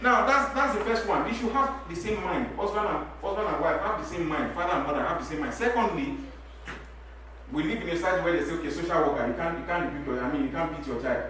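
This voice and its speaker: A man's voice: on the high side, fast talker, a little hiss or hum. Nigerian man in an echoey church hall. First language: Yoruba. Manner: animated, repeats words, laughs while making points. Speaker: panel speaker at church talk show. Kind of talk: lively church panel talk. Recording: very echoey hall, mic from a distance.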